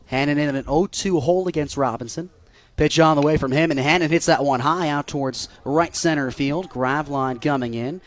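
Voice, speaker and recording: A young American man works as a radio baseball play-by-play announcer. His voice sits a bit high for a man. He narrates the action live and builds excitement when a play develops. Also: brisk. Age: young adult. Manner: rises into excited calls on big plays.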